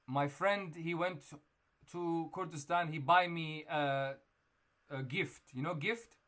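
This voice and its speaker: A man's voice: a little high, takes it slowly, fairly bright tone. A man hosting a random video chat, talking casually and playing confused and innocent. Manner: playful, feigning innocent confusion. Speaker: video chat prankster host. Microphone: webcam or phone mic on video chat.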